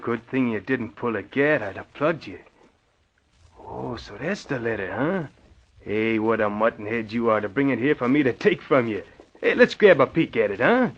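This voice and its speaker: A man acts out a cocky tough-guy gangster from a 1940s radio play. His husky voice swings widely as he sneers suspiciously in slang. The recording is old and muffled. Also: medium pitch, lively intonation, moderate pace, lightly acted. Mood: sneering, suspicious. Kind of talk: 1940s radio drama acting.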